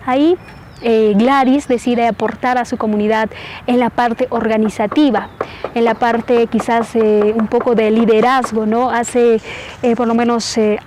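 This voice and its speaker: A woman's voice: on the high side, flat delivery, unhurried, a little mellow, a little hiss or hum. A woman explaining informally and hesitantly, often checking in with tag questions.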